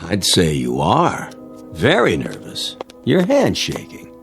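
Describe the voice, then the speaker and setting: A sly, suspicious coworker in a radio drama. His low voice swings widely as he drops insinuations with false ease and veiled menace. Music sits under the dialogue. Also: wildly swooping pitch, creaky, heavily acted. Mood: insinuating. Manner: insinuating, deliberate, falsely casual.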